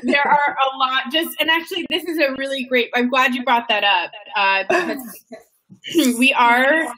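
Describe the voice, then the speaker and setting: A woman's voice: high-pitched, normal speed, pushed and bright, poor audio. A woman hosting an online webinar, moderating casually and encouraging the other speakers. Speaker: webinar host.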